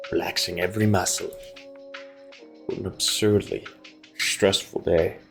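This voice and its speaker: A man hosting a gaming video, reading visual novel narration aloud and adding casual commentary. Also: deep voice.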